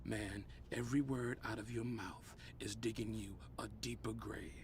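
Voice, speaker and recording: An actor with an American accent playing an indignant, threatening heavyset street tough in a video game. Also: male voice, on the low side, fairly even intonation, normal speed, a little mellow, slight background noise, close to an everyday voice. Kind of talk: video game cutscene acting.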